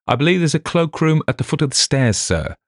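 A man's voice: a little high, very animated, brisk, dry recording, clean studio sound, pitched above the performer's own voice. A man voicing a polite, long-suffering young police sergeant who speaks carefully. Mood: earnest.

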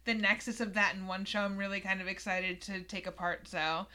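A woman's voice: medium pitch, flat delivery, fairly quick, pushed and bright. A woman hosting a podcast, chatting enthusiastically and swearing freely. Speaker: podcast host. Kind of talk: casual chat.

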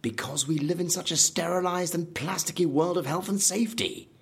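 An actor playing a brash theme park designer, husky and animated, relishing gruesome details in an audio drama. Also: male voice, a little high, brisk, exaggerated voice. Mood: fervent. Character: brash, morbidly enthusiastic male designer of a dangerous theme park.

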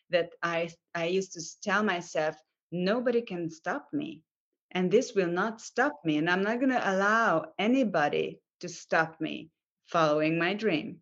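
A woman, an actress and guest on a podcast, telling her story in an earnest, determined way and often restarting her sentences. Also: on the low side. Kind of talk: interview storytelling. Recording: dull lo-fi audio.

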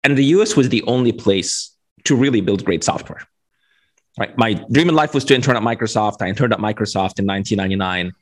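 A man's voice: fairly low pitch, lively intonation, quick pace. A man, talking casually and explaining his points as a guest in an interview over a video call. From Egypt. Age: 40s. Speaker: interview guest, venture capitalist.

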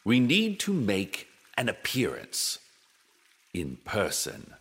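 A man playing an imperious, calculating corporate director with a husky voice and a pompous, deliberate manner in a dystopian audio drama. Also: lowish voice, huge pitch swings, a bit bright, exaggerated voice. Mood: cold, calculating.

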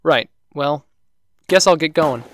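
A man's voice: fairly high pitch, slow, fairly bright tone, slight background noise. A man playing a helpful, plain-spoken boatman in an audio drama, casual in his delivery.

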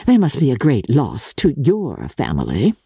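A woman's voice: a bass voice, wildly swooping pitch, moderate pace, dark tone, husky, dry recording, clean studio sound, full character voice. A woman voicing a jealous young society lady in a classic storybook reading, speaking with sneering, formal civility.

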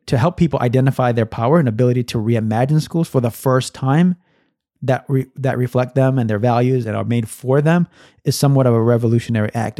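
A middle-aged man from Massachusetts speaks with a gravelly, mellow voice. He talks casually, full of fillers and restarts, into a dry close microphone. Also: medium pitch, no room sound. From Massachusetts, USA. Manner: many fillers and restarts, thoughtful. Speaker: guest, education nonprofit founder. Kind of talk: casual conversational storytelling.

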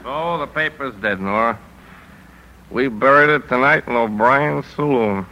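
Cynical newspaper editor, very sharp tense voice, mid pitch, punchy, sardonic, weary, 1950s radio drama. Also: male voice, unhurried, poor audio. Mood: drunk, maudlin.

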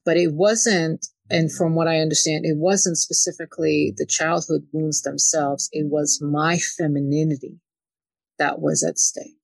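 A woman's voice: low voice, fairly even intonation, unhurried, vocal fry, no room sound. A woman from Ukraine talking as a spiritual channeler and interview guest, often dropping in "you know" as she goes. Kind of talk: spiritual conversation.

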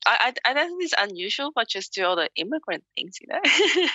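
Bright-voiced woman with a Hong Kong accent. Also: fairly high pitch, big pitch swings, brisk, no room sound, lo-fi sound. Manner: frank, chatty, laughs often. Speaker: author and guest. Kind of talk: interview answers.

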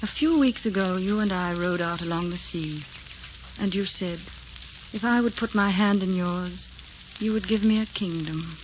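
A woman's voice: on the low side, moderate pace, natural voice. An actress playing a proud, romantic young noblewoman in earnest, melodramatic 1940s radio style. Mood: pleading, tender. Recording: noisy, muffled 1940s radio recording.

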